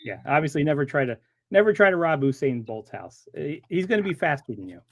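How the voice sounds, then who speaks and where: A man chatting casually on a stream, cracking jokes and reacting quickly. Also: on the high side, sing-song. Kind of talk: casual chat.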